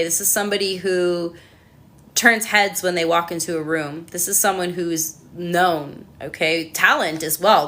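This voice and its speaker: A woman's voice: on the low side, bright, forceful tone. A Canadian woman doing a casual livestream tarot reading, chatting to viewers and answering their comments with lots of ums. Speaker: tarot reader.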